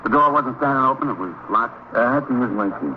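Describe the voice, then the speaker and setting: Tinny noisy old radio recording, male actor playing nervous fry cook, talkative, halting, repetitive, agitated witness. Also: mid-range voice, lively intonation, lightly acted. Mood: agitated, eager. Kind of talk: old-time radio police drama.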